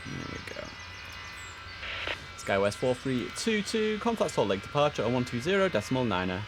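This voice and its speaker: A man's voice: mid-range voice, big pitch swings, moderate pace, over music. A man giving casual live-stream commentary as he plays air traffic controller, easygoing and half talking to himself, slipping into clipped controller phraseology.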